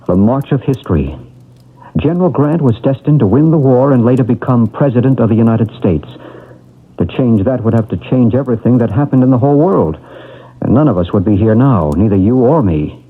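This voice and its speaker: A composed expert guiding a patient into a past life in an old radio mystery, his speech measured and soothing. His voice is husky and sits at a middle pitch, heard through a thin, phone-like band. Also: animated. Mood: reassuring. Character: calm male past-life regression expert. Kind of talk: 1970s radio drama acting. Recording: tinny old radio broadcast.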